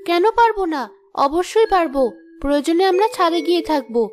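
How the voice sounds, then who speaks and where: A woman voicing a calm, resourceful young wife in a cartoon, steady and reassuring. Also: very high-pitched, fairly quick, faint music behind. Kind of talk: Bengali cartoon voice acting.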